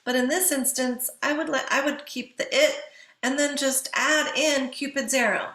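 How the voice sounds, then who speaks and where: A woman's voice: on the high side, pushed and bright, echoey room. A woman giving an instructional writing lecture in a didactic way, posing questions to listeners and answering them herself.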